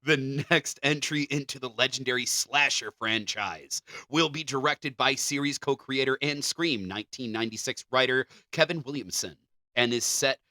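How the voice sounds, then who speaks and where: A man's voice: medium pitch, bright, forceful tone, no echo. A man co-hosting a podcast, reading out entertainment news with casual banter. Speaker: podcast co-host.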